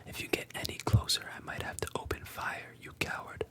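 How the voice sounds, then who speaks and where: A storyteller voicing a cruel, mocking adult male bandit leader in a soft whisper. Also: a little fast, close to an everyday voice. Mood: sneering.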